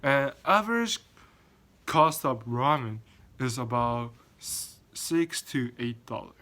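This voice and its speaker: Slow, halting young man giving a class speech. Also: medium pitch, lively intonation, bright, forceful tone. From Japan. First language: Korean or Japanese. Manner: halting, frequent restarts and fillers. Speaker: student.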